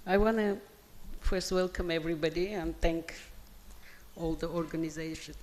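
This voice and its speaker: An older Armenian woman with an Armenian accent, reading her own poems at a literature festival in slow, measured phrases. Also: low voice, fairly even intonation, darkish tone. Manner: slow, measured recitation with hesitant asides. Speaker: guest poet. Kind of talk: poetry reading. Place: festival hall. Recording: long hall reverb.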